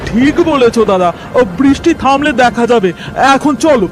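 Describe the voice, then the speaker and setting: An actor as a very high-voiced uneasy man. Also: lively intonation, moderate pace, slight background noise. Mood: uneasy urging. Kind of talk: cartoon horror story acting. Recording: loud music behind the voice.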